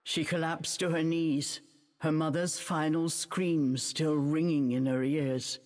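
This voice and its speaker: A woman playing a storybook narrator in a video game, measured and dramatic. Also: low-pitched, steady tone, a little mellow, long reverb tail.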